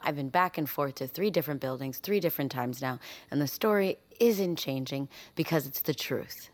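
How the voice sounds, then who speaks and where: A woman acting a weary, defiant survivor under interrogation in a naturalistic audio drama, firm and measured. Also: low-pitched. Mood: insistent, frustrated.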